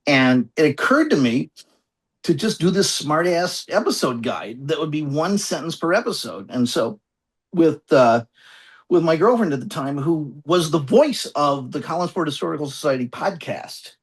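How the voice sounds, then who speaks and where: Husky man, thoughtful and halting. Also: fairly high pitch, expressive. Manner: halting, thoughtful, circling back and repeating phrases. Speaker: guest author and essayist. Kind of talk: reflective conversational interview answers.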